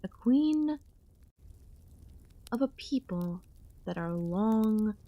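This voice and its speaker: A woman voicing an ancient, possessive alien queen in an ASMR audio roleplay, speaking with soft, teasing menace and drawn-out pauses. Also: medium pitch, animated, dry recording. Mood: solemn, regal. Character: ancient yandere alien queen, last of her kind, condescendingly affectionate.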